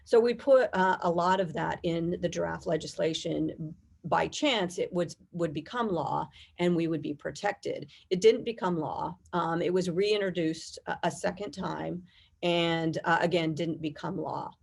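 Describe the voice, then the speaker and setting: A middle-aged American woman speaks in a fairly low voice with a gravelly creak. She answers as an interview guest, explaining things in a measured, conversational and detailed way. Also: darkish tone. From United States. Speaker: guest, air traffic controllers' union executive.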